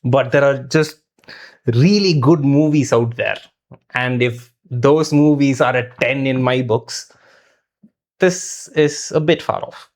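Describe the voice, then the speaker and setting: A man's voice: medium pitch, slow, darkish tone. Thoughtful man chatting through a film review. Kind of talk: conversational film review discussion.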